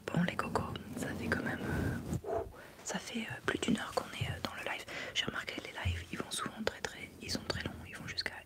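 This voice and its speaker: A young woman, whispering casually into a close desk mic during an ASMR livestream, rambling and answering chat. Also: normal speed. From France. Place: small bedroom studio indoors. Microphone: large desk mic up close.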